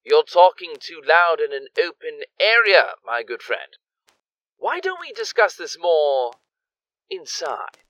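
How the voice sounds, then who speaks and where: A man's voice: fairly high pitch, big pitch swings, takes it slowly, pushed and bright, no echo, heavily acted, low-quality recording. A man playing a smug, flowery-spoken kidnapper gang leader in an audio drama, pompous and theatrical. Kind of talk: audio drama villain acting.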